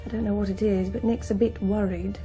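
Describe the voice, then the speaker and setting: An actress playing a breezy, defiant young British daughter in a radio drama, flippant, evasive and offhand. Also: female voice, mid-range voice, fairly even intonation, fairly quick, warm tone, faint music behind, lightly acted. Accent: British English. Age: young adult.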